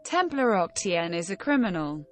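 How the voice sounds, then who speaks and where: A woman's voice: fairly low pitch, sing-song, normal speed, soft background music. A woman playing a resolute, blunt adventurer in a fantasy game. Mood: resolute, questioning. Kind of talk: scripted fantasy game dialogue.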